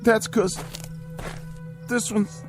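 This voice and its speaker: An actor playing an eager, excitable young army soldier in a radio drama. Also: male voice, high voice, drawn-out words, over music. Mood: shaken. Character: eager jittery young male army private.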